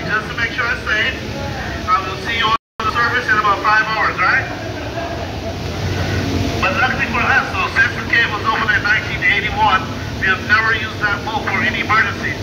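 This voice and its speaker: Far-off chatty man guiding a cave tour. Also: high voice. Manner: chatty, jokey, addresses the group as guys. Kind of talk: guided tour commentary. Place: underground cave aboard a tram. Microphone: filmed by a rider's phone from a few rows away. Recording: echoey cave from afar with loud vehicle noise.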